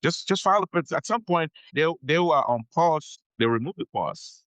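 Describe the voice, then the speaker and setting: A man's voice: fairly high pitch, animated, normal speed, fairly bright tone, dry recording. Man explaining immigration law, dry sound. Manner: explanatory, repeats key advice, frequent "okay?" check-ins. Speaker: immigration attorney guest. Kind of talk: conversational podcast interview.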